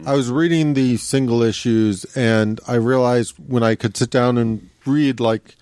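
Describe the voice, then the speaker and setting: A man's voice: medium pitch, fairly even intonation, unhurried, darkish tone, smooth, no echo. A man co-hosting a podcast in casual conversation. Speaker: podcast co-host. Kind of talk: casual chat.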